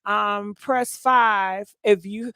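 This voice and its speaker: A woman hosts a live call-in gossip chat in a relaxed, talkative way. She takes calls and runs quick phone polls. Her voice sits in a dry, close setting with no room echo. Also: mid-range voice, creaky, smooth. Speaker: host of a call-in gossip show.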